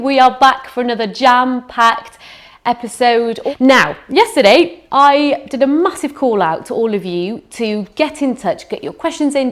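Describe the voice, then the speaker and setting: A woman hosting a live online festival broadcast with an upbeat, bubbly presenter manner. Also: fairly high pitch. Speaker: festival host.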